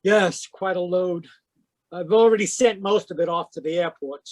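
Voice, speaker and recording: A man's voice: high voice, normal speed, a little mellow, no room sound, lightly acted. A man doing a crusty, jittery old grump. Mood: irritable. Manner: gruff, irritable, suspicious. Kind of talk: radio drama read live over video call. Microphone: home microphone on a video call.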